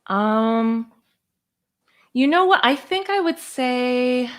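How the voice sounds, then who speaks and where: A woman's voice: sits high, animated, extremely slow, a bit bright. Hesitant woman in casual interview. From Hong Kong, born in the US. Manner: hesitant, self-effacing, thinking aloud. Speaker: guest puppeteer and storyteller.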